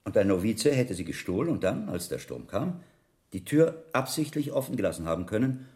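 Deep, mellow monk investigator. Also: male voice, very animated. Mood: probing, calm. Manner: measured, probing, coolly reasoning. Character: shrewd, calm monk investigator, methodically questioning. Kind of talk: German radio drama acting.

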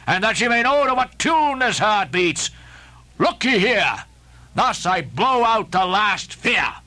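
A defiant, grandiose old sea captain, read by a man in an audiobook, declaiming archaic lines in a high voice. The tone is bright and forceful, and the lines rise sharply in pitch as he rails. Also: very animated, slow, exaggerated voice. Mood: commanding. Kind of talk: dramatic audiobook reading.

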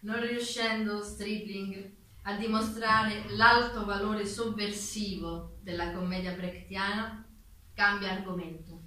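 A woman's voice: mid-range voice, fairly even intonation, takes it slowly, pushed and bright. A woman giving theatrical narration and reading documents in a declamatory, emphatic way, recorded from a distance in an echoey room. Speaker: stage narrator and reader. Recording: very echoey room, distant mic, faint noise.

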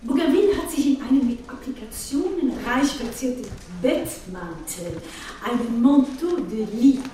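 Chatty museum guide in echoing room. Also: female voice, sits high, animated, moderate pace, dark tone. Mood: chatty, proud. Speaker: exhibition guide. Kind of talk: informal guided tour in a radio play. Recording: very echoey room, distant, faint noise.

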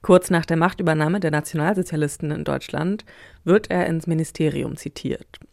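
A narrator reading a scripted introduction to a radio drama in a measured, matter-of-fact way. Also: mid-range voice, fairly even intonation, gravelly, a little mellow, no room sound, studio quality.

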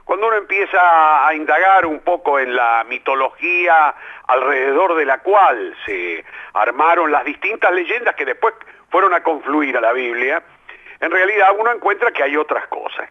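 Animated older Rioplatense man, lo-fi radio. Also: fairly high pitch. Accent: Rioplatense Spanish. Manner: reflective, lively, filler-heavy. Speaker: playwright and theatre director. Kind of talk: radio interview guest. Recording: lo-fi radio broadcast.

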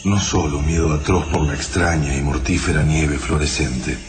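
An actor with an Argentine accent playing a steady, protective father caught in catastrophe in a radio drama. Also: a bass voice, normal speed. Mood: somber, fearful.

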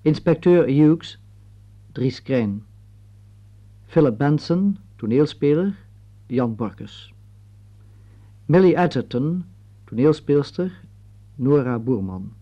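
A man's voice: on the high side, expressive, dark tone. Een man leest als omroeper formeel en afgemeten de aftiteling van een hoorspel voor. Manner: measured, formal list reading. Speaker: announcer reading closing credits. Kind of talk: formal scripted radio announcement. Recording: dull, muffled vintage radio recording.

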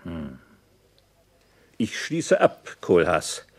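Formal, cautious magistrate. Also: male voice, deep voice, huge pitch swings, breathy edge, heavily acted. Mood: reserved. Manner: formal, measured. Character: courteous, cautious male magistrate making a bargain. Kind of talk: radio drama acting.

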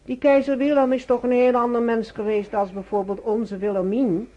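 An actress playing a chatty, opinionated middle-aged hospital roommate in a radio drama, insistent and self-assured, with a husky, mellow voice. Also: female voice, a little high, level tone, normal speed, natural voice. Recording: slightly dull radio play recording.

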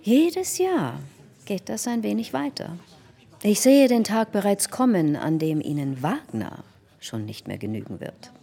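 A woman's voice: medium pitch, very animated, a little slow, creaky, warm tone, breathy edge, exaggerated voice. An actress in a literary radio drama playing a vain, domineering middle-aged society hostess, pompous and emphatic in her delivery. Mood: grand, boastful. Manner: pompous, emphatic, swooping declamation. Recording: long reverberant hall, music behind.

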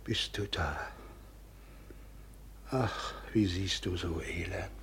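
An actor playing a frail, remorseful old father of noble birth in a classic radio drama, feeble, halting and lamenting, on a noisy recording. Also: sits low, vocal fry, a bit bright, husky, full character voice. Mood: weak, mournful.

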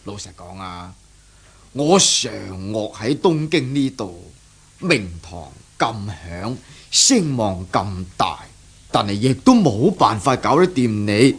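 A man's voice: medium pitch, very animated, slow pace, breathy edge, exaggerated voice. An actor playing an indulgent, wry middle-aged father in a 1970s radio drama, teasing patiently in Hong Kong Cantonese. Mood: amused, teasing.

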